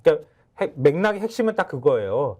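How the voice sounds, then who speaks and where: A middle-aged man giving political commentary on a studio talk show, animated and acting out quotes in a higher voice. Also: fast. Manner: animated, acts out quotes with sudden pitch jumps.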